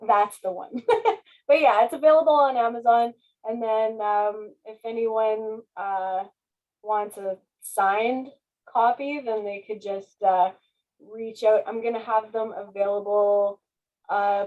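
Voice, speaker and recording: A woman's voice: mid-range voice, darkish tone, poor audio. A woman chatting casually as a guest author, effusive and self-deprecating. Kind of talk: casual question-and-answer chat.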